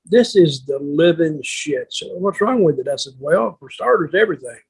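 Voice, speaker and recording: Raspy older man, blunt storyteller. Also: on the high side, lively intonation, moderate pace, low-quality recording. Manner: blunt, salty, acts out remembered exchanges. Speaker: veteran wrestler telling stories on a podcast. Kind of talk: casual anecdotal storytelling.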